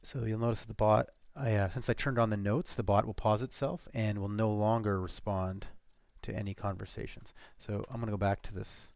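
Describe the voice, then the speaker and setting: A man presenting a software demo as an informal screencast walkthrough, talking casually and haltingly as he thinks aloud. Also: fairly low pitch, fairly even intonation, vocal fry, no room sound.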